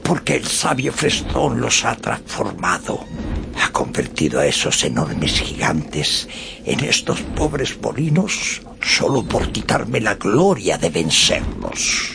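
An actor playing a deluded, love-struck old knight errant with pompous, flowery declamation in a radio drama. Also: male voice, on the low side, sing-song, takes it slowly, gravelly, noisy background, exaggerated voice. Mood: dramatic warning. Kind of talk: Spanish-language radio drama acting. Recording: studio radio drama with background music.

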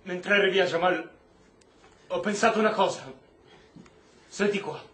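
A very high, bright male voice in a roomy, echoing space as a gentle prisoner from Kabul. He is a loyal, caring friend who speaks with earnest, stagey feeling and measured pauses. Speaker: actor. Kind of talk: Italian stage-style radio drama acting.

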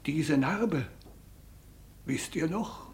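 An actor playing a devout, loyal old manservant of seventy-one in a radio drama, humble, emotional and pleading. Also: male voice, fairly high pitch, expressive, extremely slow, vocal fry, full character voice. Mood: tearful affection. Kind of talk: classic German radio drama acting.

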